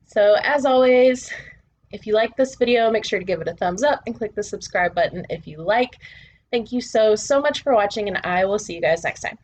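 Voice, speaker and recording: A woman hosting a book channel, talking to viewers in a measured, deliberate, presenter-style way with short pauses between phrases. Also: mid-range voice, lively intonation, a little mellow. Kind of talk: presenter-style talk to camera.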